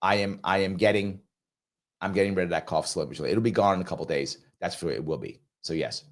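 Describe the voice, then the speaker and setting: A man's voice: sits low, quick pace. A man hosting a political talk show, reading out viewer comments and answering them bluntly, repeating his points, with a cough he is getting over. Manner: blunt, emphatic, repeats himself for emphasis. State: lingering cough.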